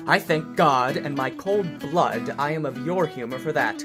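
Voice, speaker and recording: A woman playing a sharp-tongued, disdainful young noblewoman with a mocking manner in a Shakespearean radio comedy. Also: deep voice, animated, measured pace, over music. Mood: scornful. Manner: mocking, tart.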